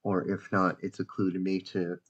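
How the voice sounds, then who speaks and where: A man giving a casual, informal software demo as a developer presenting his own application. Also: low-pitched, completely flat delivery, moderate pace. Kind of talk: casual screen-share demo narration. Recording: dull lo-fi mic.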